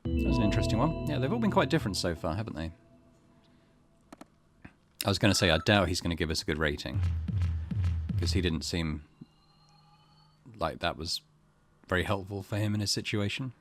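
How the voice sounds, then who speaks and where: A man's voice: low-pitched, expressive, a little fast, long pauses, soft background music. A man giving casual let's play commentary as a gaming host, musing tentatively in a conversational way.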